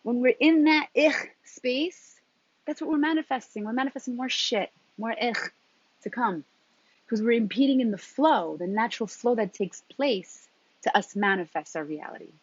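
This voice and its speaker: A woman speaking as a spiritual coach in a motivational talk, deliberately, in short phrases with emphatic repetition and a husky voice. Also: on the high side, animated, dry recording. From New York, of Colombian background. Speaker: transformative coach and intuitive guide. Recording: dull, lo-fi sound.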